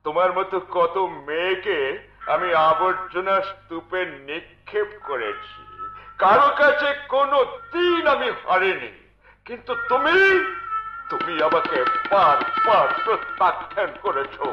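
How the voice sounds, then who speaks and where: A man playing an arrogant, lecherous rich villain in a folk theatre melodrama, theatrical and menacing, with drawn-out boasts and English phrases thrown in. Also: sits high, very animated. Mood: menacing, boastful. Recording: echoey, dull recording with music.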